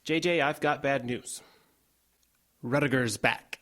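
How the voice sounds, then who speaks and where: A man's voice: mid-range voice, slow pace, fairly bright tone. An actor playing a matter-of-fact man running a radio theater rehearsal, direct and natural in audio drama style. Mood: serious.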